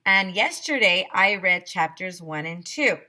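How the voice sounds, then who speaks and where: Bright-voiced, slow-paced woman reading to children. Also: lowish voice, animated. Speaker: teacher reading aloud. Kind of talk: expressive children's read-aloud narration.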